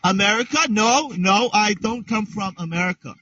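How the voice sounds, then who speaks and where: High-pitched man teaching kids. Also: sharp tone, muffled recording, slight background noise. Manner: slow, exaggerated, encouraging teacher talk with repeated prompts. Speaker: English teacher. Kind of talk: online class for young learners.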